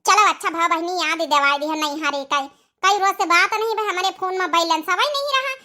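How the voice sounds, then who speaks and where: An extremely high, bright cartoon voice from a woman actor plays a young married village woman with an Awadhi accent. She is talkative and caring, stretching out her rustic phrases like a fussing daughter in a comedy. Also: fairly quick. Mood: chatty.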